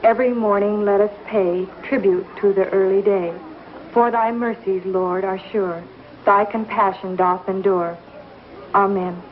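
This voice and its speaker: Actress as prim frontier schoolteacher, muffled old soundtrack. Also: female voice, medium pitch, steady tone, measured pace, a little hiss or hum, lightly acted. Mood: firm, composed. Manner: polite, proper, precise classroom diction. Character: prim, gentle young frontier schoolteacher, composed and earnest. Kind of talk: 1950s television western acting. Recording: muffled old 1950s television soundtrack, some music.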